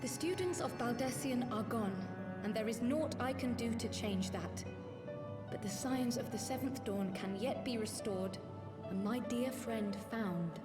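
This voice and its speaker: A woman's voice: on the high side, a little slow, lightly acted. An actress playing a spirited young female scholar in a fantasy game, eloquent and pert. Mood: earnest. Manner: eloquent, pert, formal wording. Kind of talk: scripted fantasy game acting. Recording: roomy echo with loud music.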